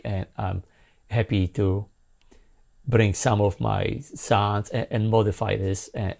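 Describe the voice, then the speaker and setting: Middle-aged man, low creaky voice. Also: darkish tone. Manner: halting, informal, rambling. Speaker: sound designer demonstrating a software synth. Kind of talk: casual product demo. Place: small home music studio, indoors. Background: synth sounds and music.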